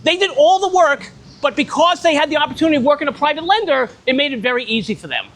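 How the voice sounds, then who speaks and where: Loud, very high-voiced man speaking. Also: expressive, fast. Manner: talks loudly without a microphone, casual and blunt with swearing. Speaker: guest speaker on private lending. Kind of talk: talk at an investor meetup. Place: restaurant event space in the rain.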